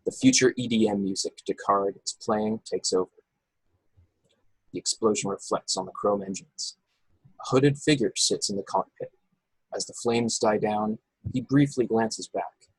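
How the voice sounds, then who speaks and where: A man's voice: lowish voice, measured pace, slightly rough, no room sound, rough recording. A man reading scripted action descriptions as narrator in an informal, unpolished way on an amateur online table read. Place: video call from a room.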